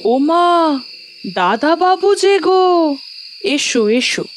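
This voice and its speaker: An actress playing an elderly, devoted maidservant who frets and grumbles in a folksy, superstitious way in an audio horror drama. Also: female voice, extremely high pitch, animated, very slow, a little hiss or hum, heavily acted. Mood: delighted. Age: older.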